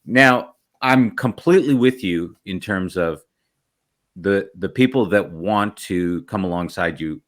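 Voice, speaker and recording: A man's voice: low voice, measured pace, a bit bright. A man hosting an interview, asking questions in a hesitant way, with many restarts. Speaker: podcast host, interviewer. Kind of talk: casual interview conversation.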